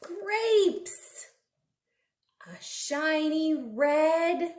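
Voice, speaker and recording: A woman's voice: sits high, lively intonation, very slow, a little mellow. A woman children's librarian reading aloud expressively to small children, prompting them with simple questions. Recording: roomy, echoing indoor recording.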